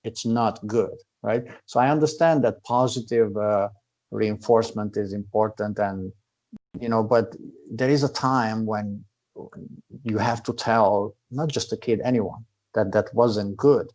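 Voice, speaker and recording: A man's voice: on the low side, a little slow, darkish tone, dull audio. A man from Transylvania in Romania, a karate instructor explaining in casual conversation and checking in with "right?". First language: Hungarian. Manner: earnest, explaining at length with repeated "right?".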